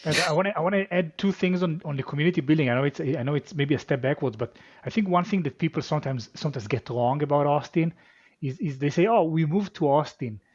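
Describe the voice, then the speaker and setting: A man's voice: fairly high pitch, quick pace, husky, no echo, highs cut off. A man with an Israeli accent, a guest in a casual interview who talks reflectively and often repeats his words. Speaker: venture capital guest.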